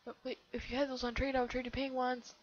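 A teenage girl with a somewhat low voice chatting casually over gameplay, rambling with lots of fillers. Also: flat delivery, quick pace, slight background noise. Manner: rambling, giggly, self-chattering with many fillers. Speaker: game video creator. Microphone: computer mic. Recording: dull lo-fi computer mic.

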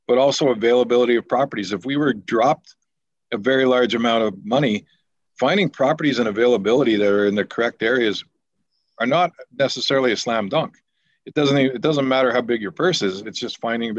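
A man's voice: fairly low pitch, no echo. Deputy mayor type, Canadian man, earnest and rambling, dry dull video-call sound. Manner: rambling, repeats words, earnest. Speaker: deputy mayor at a council meeting. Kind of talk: council meeting remarks. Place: home office on a video call.

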